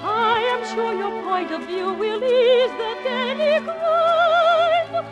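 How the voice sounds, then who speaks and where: A woman's voice: very high-pitched, slow, dull audio. An actress playing a sweet, hopeful young dishwasher girl in a 1950s radio operetta. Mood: hopeful singing. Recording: 1950 radio broadcast, orchestral music.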